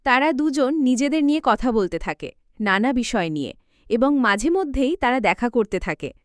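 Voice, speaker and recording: A woman narrating a children's cartoon story in a steady reading manner. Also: on the high side, normal speed, no room sound, clean studio sound.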